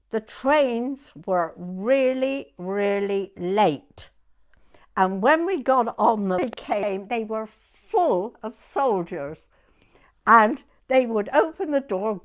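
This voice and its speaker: An elderly woman in her nineties with a southern English accent tells her memories informally. She speaks slowly and wanders, often dropping a sentence halfway and starting it another way. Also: medium pitch. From Dorset, England. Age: 90s. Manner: rambling, often breaking off and rephrasing mid-sentence. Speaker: oral history interviewee. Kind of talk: informal spoken reminiscence.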